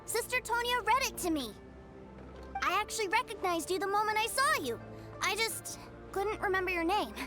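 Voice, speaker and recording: A woman's voice: extremely high pitch, expressive, moderate pace, over music. A woman voicing an innocent, chatty little boy in a video game, earnest and childlike. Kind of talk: animated game voice acting.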